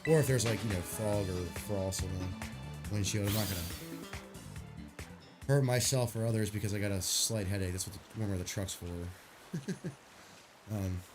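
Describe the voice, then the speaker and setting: A man's voice: lowish voice, moderate pace, long pauses, music in the background. A man streaming a game, chatting casually and rambling, stuffy from a sinus headache. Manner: rambling, laid-back, swears casually.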